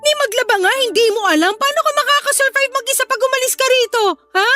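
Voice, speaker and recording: A woman's voice: extremely high pitch, fast talker, pushed and bright, faint music behind. A Filipino woman playing a bossy, hot-tempered older sister who nags and confronts in a radio-style drama. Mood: scolding. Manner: nagging, confrontational.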